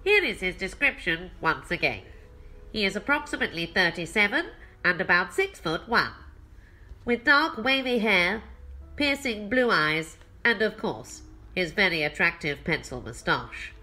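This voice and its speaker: A woman's voice: medium pitch, sing-song, unhurried, pushed and bright, soft background music, heavily acted. A woman playing a formal yet smitten radio newsreader, reading a mock old-time news bulletin in a radio play. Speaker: radio announcer.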